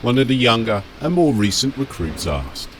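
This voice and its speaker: A man narrating a scripted fantasy audiobook dramatically, with measured, emphatic phrasing. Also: mid-range voice, big pitch swings, slight background noise.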